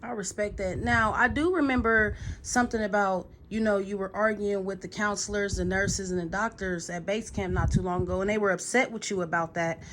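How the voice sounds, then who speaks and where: A woman's voice: mid-range voice, steady tone, brisk, few pauses, a bit bright. A woman interviewing a guest in a casual, affirming way. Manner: affirming, brief agreements. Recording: noisy recording with clicks.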